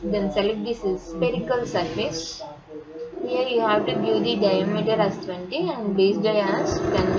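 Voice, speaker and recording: A woman with an Indian accent narrating a step-by-step software tutorial in a halting, instructional manner. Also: mid-range voice. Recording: muffled, very noisy, vehicle sounds behind.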